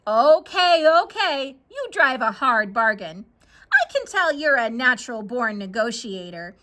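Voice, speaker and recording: A woman voicing a small, plucky, quick-witted girl in a children's story, expressive and dramatic. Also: a little high, heavily acted. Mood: brash bargaining. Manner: exclaiming, expressive, eager.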